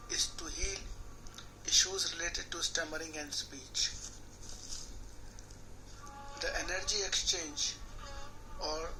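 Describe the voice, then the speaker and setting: A man, a healer guiding a tapping session in a slow, measured, soothing way, speaking repeated affirmations phrase by phrase. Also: on the high side, low-quality recording. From India. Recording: clear background music under the voice.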